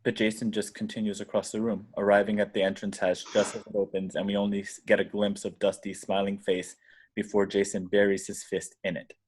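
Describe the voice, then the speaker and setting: A man reading screenplay action directions aloud in a deliberate, dramatic way. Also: lowish voice, little pitch movement, darkish tone, breathy edge. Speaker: stage directions reader.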